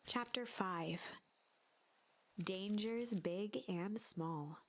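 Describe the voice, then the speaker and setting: A woman narrating a video game like a storybook, in a measured, dramatic way. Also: mid-range voice.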